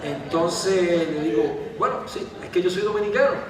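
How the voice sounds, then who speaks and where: A man's voice: sits high, normal speed. A man from Cuba, a writer, telling a self-deprecating anecdote and answering questions at a literary gathering. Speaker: author. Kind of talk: storytelling talk and answering questions. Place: large event hall. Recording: echoey hall from far off, faint noise.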